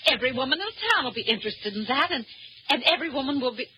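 An actress playing a poised, exacting newspaper editor with an American accent, brisk and teasing in an old-time comedy drama. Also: female voice, high voice, expressive, pushed and bright. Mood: polite, eager. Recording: dull, noisy old broadcast.

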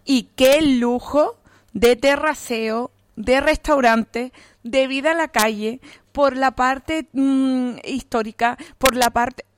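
A woman's voice: high-pitched, takes it slowly, no room sound. A woman on a radio panel giving her view in long, emphatic runs. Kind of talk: opinionated radio chat.

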